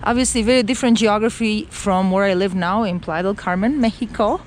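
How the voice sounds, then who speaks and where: A middle-aged woman from Romania talks as she walks, chatty and loose, full of fillers and restarts. Her voice sits at a middle pitch, recorded on a handheld camera outdoors. Clear street traffic hums behind her. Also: fairly quick. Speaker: travel vlogger. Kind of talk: casual walk-and-talk vlog. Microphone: handheld camera while walking.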